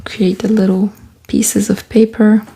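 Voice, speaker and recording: Soft, slow-talking woman. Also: medium pitch, level tone, gravelly, breathy edge, rough recording. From Quebec, Canada. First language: French. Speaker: artist and author vlogger. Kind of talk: casual talk while doing collage. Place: small home studio room.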